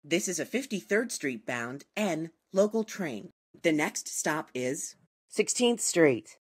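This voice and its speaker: A woman reading scripted subway announcements in a formal, measured way, in segmented phrases. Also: sits low, sing-song, slightly rough.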